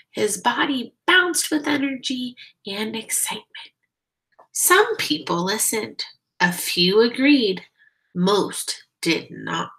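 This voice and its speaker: Slow-paced woman teaching young children. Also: a little high, a bit bright, low-quality recording. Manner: simple explanations with guiding questions addressed to young children. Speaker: kindergarten teacher. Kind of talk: children's read-aloud lesson.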